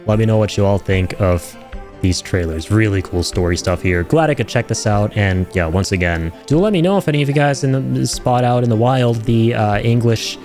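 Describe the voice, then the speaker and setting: A man's voice: on the low side, moderate pace, a little mellow, soft background music. A man giving casual, effusive and rambling reaction commentary as a video host, addressing his viewers directly.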